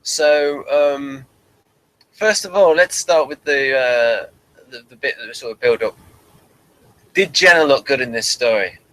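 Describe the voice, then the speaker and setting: A man's voice: mid-range voice, unhurried, pauses often, a bit bright, low-quality recording. A man hosting a casual, unscripted review chat, steering it along in a hesitant, trailing way. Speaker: host of review show.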